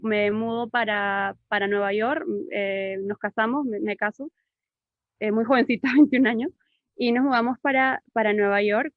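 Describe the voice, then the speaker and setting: A woman in her thirties with a Peruvian accent that carries a hint of Cuban, speaking in a fairly high voice. She tells her story over a video call, chatty and full of fillers and repeated words. The call audio sounds dull, dry and lo-fi. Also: steady tone, normal speed, dry recording. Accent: Peruvian Spanish with a mixed, Cuban-tinged touch. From Peru. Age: 30s. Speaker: interview guest.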